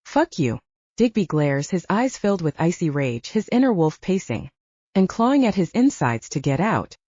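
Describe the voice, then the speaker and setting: A woman's voice: fairly low pitch, sing-song, normal speed, mellow, slightly rough, no echo. A woman reading scripted dramatic fiction as an audiobook narrator, on a slightly dull recording.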